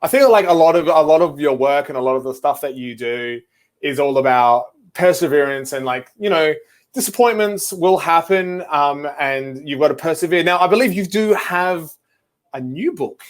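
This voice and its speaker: A man's voice: a little high, normal speed. A man with an Australian accent hosting a children's show, chatting casually with a guest. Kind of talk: casual interview chat.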